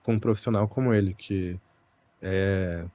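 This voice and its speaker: A man's voice: low voice, fairly even intonation, dull audio. A young Brazilian man, a Portuguese teacher, talking thoughtfully and unhurriedly as a guest in an interview. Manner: thoughtful, unhurried, frequent "né" and "puxa" fillers. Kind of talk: relaxed conversational interview.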